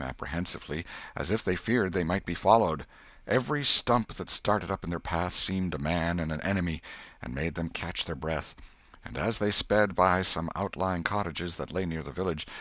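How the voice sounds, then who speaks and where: A man narrating an audiobook in an expressive, literary storytelling style. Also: low voice, no room sound.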